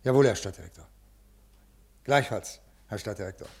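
An actor playing a brusque, uneasy senior official with a halting, officious manner in a 1950s radio drama. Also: male voice, on the low side, animated, gravelly, noisy background. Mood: deferential, uneasy. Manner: officious, halting, irritable with subordinates. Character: brusque, uneasy male senior bureaucrat, troubled by conscience. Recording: old 1950s radio recording.

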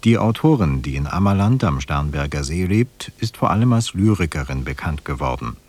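A man's voice: very deep voice, animated, normal speed, a little mellow, breathy edge. A man working as a radio announcer, giving a scripted formal introduction to a play.

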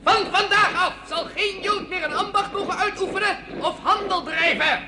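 A man's voice: very high-pitched, moderate pace, echoey room, heavy background noise. An actor playing a male official who proclaims decrees in a radio play. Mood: proclaiming. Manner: stiff, proclaiming. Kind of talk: radio drama acting.

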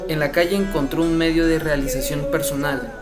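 A man reading a scripted report in a measured, even way. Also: a little high. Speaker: narrator of a report. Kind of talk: scripted narration. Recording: voice-over with loud music behind.

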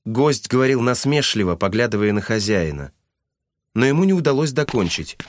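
A man's voice: mid-range voice, very animated, normal speed, a little hiss or hum. A man giving scripted literary narration as the narrator of an audio drama.

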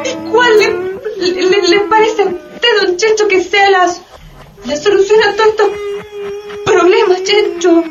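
High-voiced, sharp-tongued skeptic, echoey room. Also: female voice, fairly even intonation, measured pace, recorded from a distance, dull audio, over music. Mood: tearful, excited. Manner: colloquial, exclamatory, sardonic. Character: sharp-tongued, skeptical, excitable everyday woman. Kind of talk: Latin American radio theatre acting.

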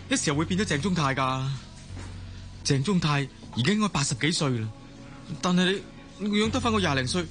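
A man's voice: a little high, close to an everyday voice. A man playing an incredulous, worried young man in a Cantonese radio drama, with a Hong Kong accent. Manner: incredulous, urgent. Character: young grandson and friend, baffled, worried, questioning. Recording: music under the voice.

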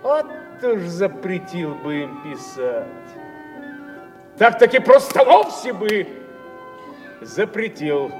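High-pitched grumbler in an echoing hall. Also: male voice, very animated, slow pace, pauses often, full character voice. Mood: indignant, grumbling. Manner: grumbling, declamatory. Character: grumbling, indignant male reader scolding storytellers. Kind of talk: theatrical recited epigraph. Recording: echoing hall, distant, music behind. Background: music.

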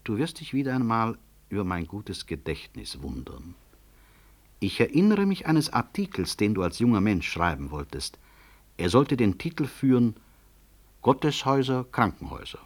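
Deep, husky, proud professor. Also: male voice, big pitch swings. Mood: dry, ironic. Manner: measured, dry irony, firm. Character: proud, upright male professor of medicine, ironic and unyielding. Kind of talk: 1960s German radio play acting.

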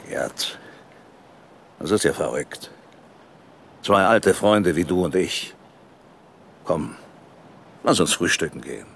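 An actor playing a ruthless, defiant older company boss in a German radio drama, arguing forcefully and with contempt. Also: male voice, mid-range voice, creaky, heavily acted. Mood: placating. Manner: forceful, rhetorical, self-justifying.

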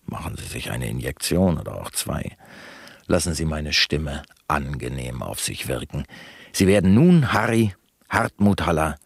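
Unctuous, low, husky talk show host. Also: male voice, a little slow, dry recording, clean studio sound, exaggerated voice. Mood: sensational, seductive. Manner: unctuous, theatrically solemn, flattering. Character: slick, unctuous male talk show host, mock-sincere, sensationalist. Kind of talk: satirical radio play acting as broadcast presenter.

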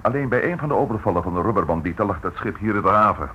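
An actor playing a practical, matter-of-fact police officer in a radio drama. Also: male voice, fairly low pitch, lively intonation, rapid-fire, pushed and bright. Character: practical male police colleague of the inspector. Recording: dull, noisy old radio play recording.

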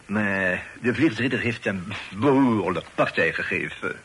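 An actor playing a deferential, fussy informant in a radio play, affectedly formal and hesitant, dropping French phrases. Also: male voice, lowish voice, expressive, unhurried, fairly bright tone. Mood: obliging. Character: deferential, fussy male police informant peppering speech with French. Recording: faintly noisy old radio recording.

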